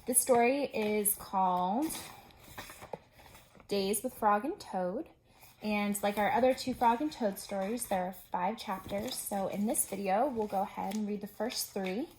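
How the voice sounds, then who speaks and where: A woman with a mid-pitched voice reads a storybook aloud as a preschool teacher. She talks straight to young children and explains things simply between passages. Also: a little slow, a little mellow, slight background noise, rough recording.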